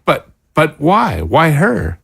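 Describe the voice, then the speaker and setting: Man playing a sardonic captive doctor, animated delivery. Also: a little high, slow pace, gravelly, husky, no echo, studio quality, heavily acted. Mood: shocked, accusing. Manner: sardonic, indignant, educated. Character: principled, defiant male doctor held prisoner. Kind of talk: audio drama acting.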